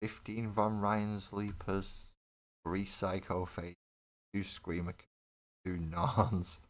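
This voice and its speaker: Chatty deep-voiced man. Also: level tone, slow pace. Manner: chatty, laughs often, talks to viewers in chat. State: coughing. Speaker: mini painting livestream host. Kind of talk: casual chat while building models.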